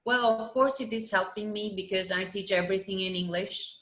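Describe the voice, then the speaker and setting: A woman's voice: medium pitch, a little fast, a bit bright. A woman in her twenties from Albania with an Albanian accent, answering interview questions conversationally in a second language, repeating short phrases for emphasis. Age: 20s. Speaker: interview guest, math teacher. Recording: roomy, echoing sound.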